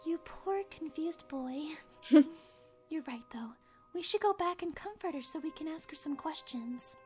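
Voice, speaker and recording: Woman playing cartoon sleuth: extremely high voice, inquisitive, composed, teasing. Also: animated, unhurried, soft background music. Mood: amused, teasing. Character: sharp, teasing young detective, playful and composed. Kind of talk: animated murder-mystery parody acting.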